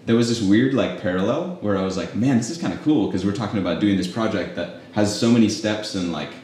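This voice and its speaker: A man's voice: on the low side, fast talker, darkish tone. A man hosting a casual interview for a coffee shop, chatty and rambling with lots of "like". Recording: echoey room, mic at a distance.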